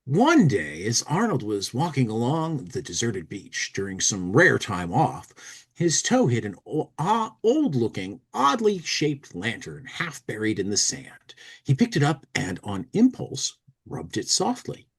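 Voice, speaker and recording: Male host, animated pitch, informal dramatic reader of comic magazines with offhand asides. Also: a little slow, breathy edge. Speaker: podcast host. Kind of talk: casual commentary and dramatic reading of a comic magazine.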